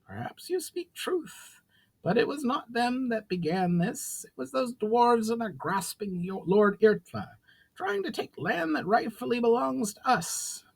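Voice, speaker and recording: A man's voice: extremely high pitch, big pitch swings, unhurried, creaky, husky, pitched above the performer's own voice, exaggerated voice, rough recording. A man voicing a suspicious, proud goblin leader in formal, challenging speech for a fantasy story. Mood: aggrieved.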